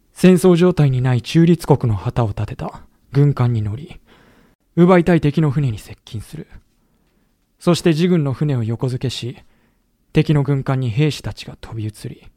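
An actor playing a samurai-era veteran who narrates a historical audio drama in a chatty, wry storytelling manner. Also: male voice, medium pitch, warm tone, no room sound, studio quality. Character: colloquial samurai-era veteran recounting his comrades' lost war. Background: background music.

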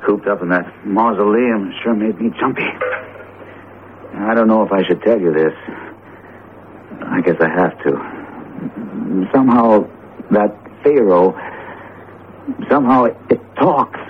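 An actor in a radio drama playing an earnest, determined teacher with deliberate, theatrical phrasing. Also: male voice, on the low side. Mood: uneasy, confiding. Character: jumpy, determined male teacher confronting a cursed statue. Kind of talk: vintage radio drama acting. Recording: tinny, narrowband old radio broadcast, noisy.

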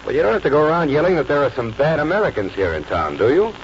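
An old radio drama with dull sound and faint hiss. A pragmatic party politician scolds in a brusque, folksy way. His pitch moves around a great deal as he talks. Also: big pitch swings, fast talker. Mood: exasperated. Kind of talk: 1940s radio acting.

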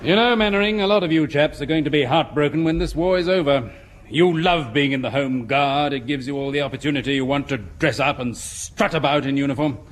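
Officious English head-office inspector played by a man, slightly high voice, scornful, old radio sitcom style. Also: fairly quick, close to an everyday voice. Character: brisk, disapproving male bank inspector from head office. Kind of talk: vintage British radio comedy acting.

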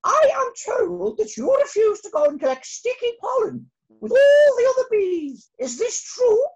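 A woman voicing a bossy, furious female queen bee in a storybook, who shouts and shrieks. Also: very high-pitched, very animated, a little slow, muffled recording, voice pushed up, full character voice.

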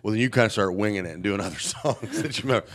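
A man telling a rambling, animated story in casual chat, with a somewhat nasal voice. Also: on the low side, rapid-fire.

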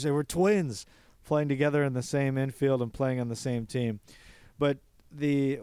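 A man's voice: mid-range voice, a little mellow, clear, resonant tone, no echo. A man hosting a baseball show, talking casually and eagerly while reeling off player statistics. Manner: enthusiastic, reels off stats. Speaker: baseball podcast host. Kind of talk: casual sports talk monologue.

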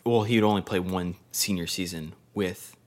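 A man co-hosting a casual sports podcast, reading stats in a loose, joking way. His voice sits low. He often stops and starts again mid-sentence. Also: steady tone. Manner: loose and jokey, reads stats with halting restarts. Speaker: podcast co-host.

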